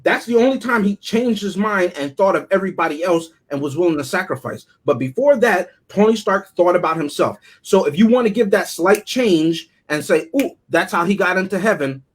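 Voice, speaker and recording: A man with a high, rough-edged voice debates casually on a film review panel. He is challenging and argumentative, repeating phrases to drive them home. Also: expressive, brisk, few pauses. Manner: challenging, emphatic repetition.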